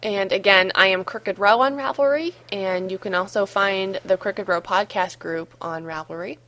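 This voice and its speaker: A woman hosting a gardening podcast who has a cold and sounds slightly hoarse, talking in a relaxed, chatty way with frequent ums. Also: medium pitch, normal speed. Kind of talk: solo podcast advice talk.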